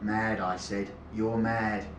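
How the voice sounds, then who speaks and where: A man's voice: on the low side, flat delivery, slow. A man acting a hostile, confrontational figure in a dramatic dialogue, speaking in terse accusations. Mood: accusing. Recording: echoey, noisy recording.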